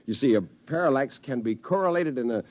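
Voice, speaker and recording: An actor playing a hapless, sheepish drinker and bluffer in a 1950s radio drama, with a wry, hesitant manner. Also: male voice, mid-range voice, expressive, fairly quick, a little mellow, muffled recording, lightly acted. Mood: nervous bluffing. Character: hapless, sheepish hard-drinking man, bluffing job seeker.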